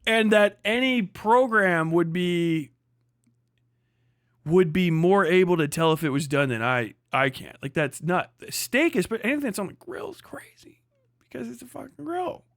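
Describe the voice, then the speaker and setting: A man with a slightly high voice talks in a close, dry sound as he hosts a livestream. He is blunt and sardonic, leaning on slang, profanity and hyperbole in a casual rant. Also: animated, normal speed, fairly bright tone, dry recording. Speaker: livestream host.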